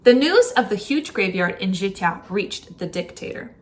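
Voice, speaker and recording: Animated woman reading a picture book. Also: mid-range voice, normal speed. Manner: animated, dramatic storytelling. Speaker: teacher reading a story aloud. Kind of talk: expressive children's picture book read-aloud.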